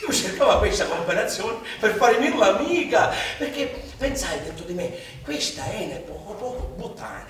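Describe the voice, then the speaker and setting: A man's voice: high-pitched, animated, normal speed, hardly any pauses, fairly bright tone, far from the mic, a little hiss or hum. An actor playing a naive, boastful young Sicilian farmhand, telling his life in earthy dialect as a theatrical stage monologue. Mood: confiding, sly. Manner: earthy, colloquial, ungrammatical dialect storytelling. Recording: echoey theatre stage from afar, music behind.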